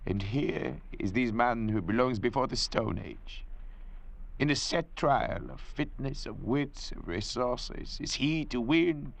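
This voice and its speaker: An actor playing a grave, lecturing ship's doctor stranded on a raft, who also narrates, in 1940s radio acting style. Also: male voice, mid-range voice, vocal fry. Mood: solemn. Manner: measured, pronouncing judgments in a lofty tone.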